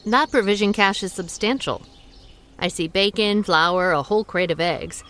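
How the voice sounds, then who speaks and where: A woman playing a shrewd restaurant owner who plays amateur sleuth in a radio drama, wry and quick-witted. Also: mid-range voice, a bit bright, close to an everyday voice.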